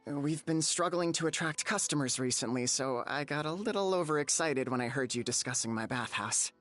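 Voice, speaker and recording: A man's voice: a little high, a little fast, fairly bright tone, soft background music, lightly acted. A man voicing a flustered, apologetic, polite bathhouse owner in a video game. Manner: hesitant, apologetic.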